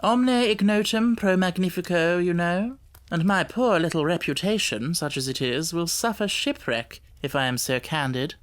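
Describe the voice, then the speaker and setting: A man voicing a brilliant gentleman detective in an audiobook story, self-assured, urbane and didactic, with wry amusement. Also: high-pitched, moderate pace, natural voice.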